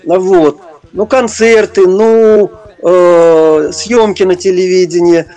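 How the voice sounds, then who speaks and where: Halting, high-voiced older man reminiscing. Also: steady tone, mellow. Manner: halting, searching for words. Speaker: interview guest, retired prison-service officer and former stage performer. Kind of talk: reminiscing interview talk.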